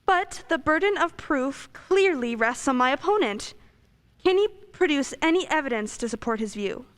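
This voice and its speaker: A woman's voice: sits high, expressive, normal speed. A woman playing an indignant prosecuting attorney in an amateur radio play, formal and insistent.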